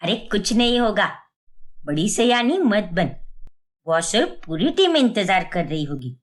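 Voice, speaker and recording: A haughty, middle-aged mother-in-law played by a woman storyteller in a cartoon family tale. She sneers and orders people about in a boastful way, with a very lively, swooping pitch. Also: a little slow. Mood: scornful.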